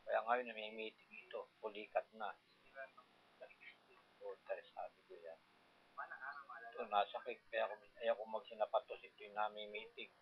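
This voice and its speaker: Older male, husky voice. Colloquial, demonstrative reminiscing. Muffled field-recording sound. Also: on the low side, flat delivery, moderate pace, pauses often. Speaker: farmer recalling his working life. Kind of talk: casual reminiscing.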